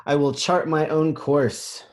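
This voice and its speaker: A man reading a children's picture book aloud as a teacher, pausing to think aloud and explain things simply. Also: a little high, steady tone. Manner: pauses to pose questions and think aloud.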